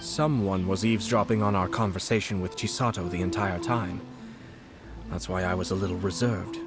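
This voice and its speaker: A man playing a shrewd, courteous young clan lord in a video game, speaking in a measured, formal, analytical way. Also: sits low, steady tone, music in the background, close to an everyday voice. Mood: grave, measured. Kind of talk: scripted video game character dialogue.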